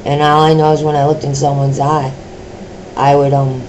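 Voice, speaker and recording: A middle-aged woman with a deep voice, sharing her own experiences in a personal vlog, speaking slowly with many pauses, ums and restarts. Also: little pitch movement, noisy background. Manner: slow, halting talk with many fillers and restarts.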